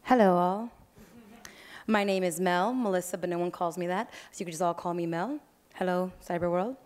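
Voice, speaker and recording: A woman's voice: on the low side, moderate pace, darkish tone. A woman introducing herself at an orientation in a breezy, playful way.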